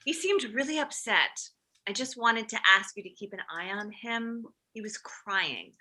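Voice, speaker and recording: An anxious, combative middle-aged mom, played by a woman in a comedy table read. The voice is very bright and forward, sitting fairly high. The delivery is protective and pushy. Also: animated, unhurried. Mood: worried. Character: anxious, overprotective, combative mother of a teenage boy.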